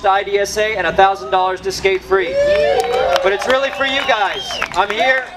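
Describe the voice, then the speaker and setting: A man's voice: high voice, normal speed. A middle-aged man giving a rambling, self-mocking speech on stage into a handheld mic at an outdoor night event. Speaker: event speaker. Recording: loud crowd and noise.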